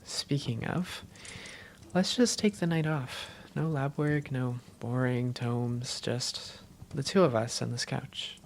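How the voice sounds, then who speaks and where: A woman playing an affectionate, self-doubting vampire girlfriend in a cozy roleplay audio, speaking in an intimate, teasing and sometimes halting way. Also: extremely low pitch, a little hiss or hum, heavily acted. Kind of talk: cozy roleplay audio, one-sided conversation.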